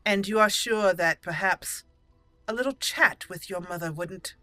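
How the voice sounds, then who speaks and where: A woman voicing a young female elf mage in roleplayed game dialogue, formal and deliberate, with pauses. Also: mid-range voice, bright, forceful tone, dry recording, faint music behind. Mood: measured, questioning.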